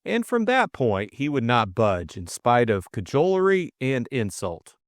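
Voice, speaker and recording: A male storyteller reads a classic tale with measured expression. His pitch moves widely over a slow pace, in a close, dry recording. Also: big pitch swings, dry recording. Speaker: audiobook narrator. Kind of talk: scripted story narration.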